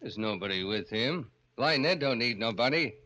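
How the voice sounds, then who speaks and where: An actor playing a gruff, wary roadside bar keeper in a radio drama, blunt and folksy, with an American accent. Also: male voice, mid-range voice, animated, moderate pace, pushed and bright. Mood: challenging. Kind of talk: 1940s radio acting. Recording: muffled old radio drama, music behind.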